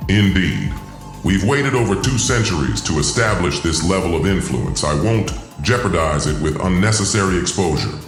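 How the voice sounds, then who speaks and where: A man's voice: sits low, sing-song, normal speed, roomy sound, soft background music. A man voicing a scheming alien overlord who poses as a god, commanding and contemptuous, in a science fiction audio drama. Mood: measured, guarded.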